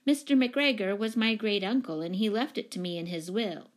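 A woman voicing a firm, businesslike mother with a crisp, direct and insistent manner in a storybook reading. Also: medium pitch, normal speed, close to an everyday voice. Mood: sharp.

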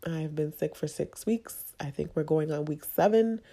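A woman with a low, gravelly voice talks in a candid podcast monologue, stuffed up and nasal from a lingering cold. Her tone is dark and mellow, and she speaks haltingly and confessionally, with plenty of fillers. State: congested from a long cold. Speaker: podcast host.

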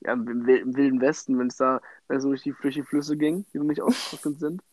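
A man's voice: medium pitch, fairly even intonation, fairly quick, a little mellow, dry recording. A young man co-hosting a casual podcast, joking in slang and often restarting his words. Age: young adult. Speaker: podcast co-host. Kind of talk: casual banter.

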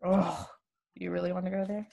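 Casting: gravelly, low woman in her 40s, Farsi her first language, lively chat host who swears casually. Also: moderate pace. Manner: animated, laughs often, swears casually. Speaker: author and podcast host. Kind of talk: casual conversational interview.

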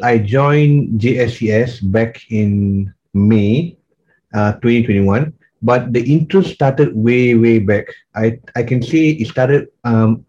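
A man's voice: medium pitch, a little mellow, dull audio, a little hiss or hum. A man, a podcast guest telling his story in an earnest way with many fillers. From Malaysia. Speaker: guest, coach and maths teacher. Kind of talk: podcast interview.

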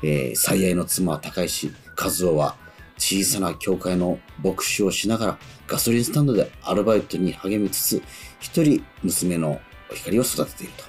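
A man's voice: low-pitched, moderate pace. A man hosting a radio talk show, chatting politely as a presenter. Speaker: radio show host and actor. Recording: music playing behind the voice.

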